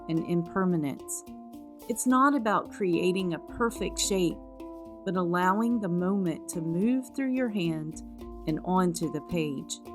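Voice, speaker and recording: Slow, calm woman guiding a meditation. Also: lowish voice. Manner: calm, measured, soothing. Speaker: meditation guide. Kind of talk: guided meditation narration, scripted. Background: instrumental music.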